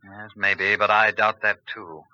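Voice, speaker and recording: An actor playing a grave, commanding detective chief in a 1940s radio drama, speaking in a serious, measured way. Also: male voice, lowish voice, level tone, bright, forceful tone. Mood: decisive, instructing. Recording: muffled 1940s radio broadcast.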